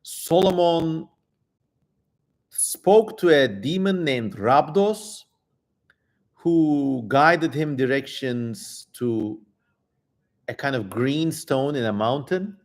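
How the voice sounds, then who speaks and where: A man's voice: fairly high pitch, expressive, extremely slow, long pauses. A man hosting a livestream in casual chat, with a wry, informal, digressive way of talking. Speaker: livestream host.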